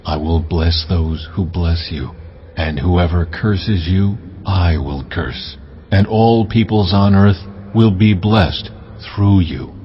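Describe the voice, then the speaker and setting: An actor playing God as a solemn, stately, commanding divine voice in a dramatized audio scripture. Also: male voice, deep voice, slow pace, muffled recording, a little hiss or hum. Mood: solemn promise.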